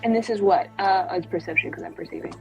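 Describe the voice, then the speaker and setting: A woman's voice: medium pitch, fast, highs cut off, soft background music. A woman playing a tabletop game, chatting casually and playfully at the table.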